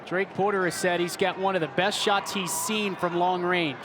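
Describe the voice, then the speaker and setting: A man's voice: high-pitched, fairly even intonation, normal speed, background noise. A man in his twenties working as a sports broadcaster, hosting and calling play-by-play. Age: 20s.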